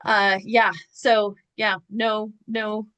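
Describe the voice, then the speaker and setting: A chatty woman host talks in a mid-range voice, animated and full of asides and rhetorical questions. Her voice sounds close and dry, with no room sound. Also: medium pitch, very slow, smooth, no room sound. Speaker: podcast host. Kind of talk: casual chat.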